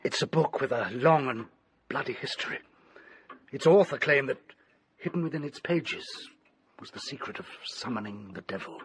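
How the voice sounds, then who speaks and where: An actor playing an agitated, obsessed English doctor in a radio drama, with formal, dramatic delivery. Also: male voice, medium pitch, animated, normal speed, fairly bright tone, slight background noise, close to an everyday voice. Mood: grave, confiding. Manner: formal, dramatic, urgent pleading and grave storytelling. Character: agitated, desperate male doctor obsessed with an occult book. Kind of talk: British radio drama acting.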